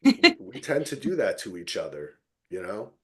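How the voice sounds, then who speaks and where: A man hosting a podcast, chatting casually about television in a rambling, animated way and swearing freely. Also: mid-range voice, normal speed, gravelly. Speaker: podcast host. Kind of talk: casual TV recap discussion.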